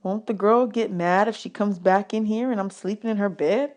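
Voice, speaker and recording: A woman's voice: medium pitch, normal speed, darkish tone, close to an everyday voice. Nervous, folksy young boy read by a woman. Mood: polite, uneasy. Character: nervous, imaginative, polite young orphan boy narrator. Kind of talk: children's audiobook character.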